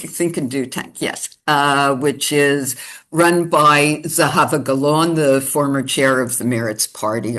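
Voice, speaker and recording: A woman's voice: deep voice, a bit bright. An older woman with a Canadian accent, giving a conversational webinar presentation with frequent hesitations. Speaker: guest speaker.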